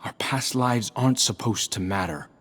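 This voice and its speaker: A man's voice: on the low side, moderate pace, fairly bright tone. An actor playing a resentful, defiant male guardian in a video game, bitter and pointed in his delivery. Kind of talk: video game dialogue acting.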